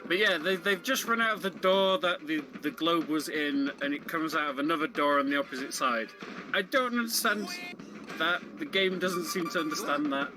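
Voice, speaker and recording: A man's voice: a little high, moderate pace. A man giving casual, explanatory live commentary on a video game, speaking a little hesitantly as he goes. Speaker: speedrun commentator. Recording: loud music behind the voice. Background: video game music.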